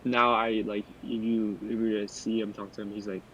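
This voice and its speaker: A man's voice: lowish voice, level tone, brisk, fairly bright tone, dull audio, a little hiss or hum. A young man talking in a casual podcast discussion, loose and rambling, who sometimes loses his thread. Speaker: podcast panelist.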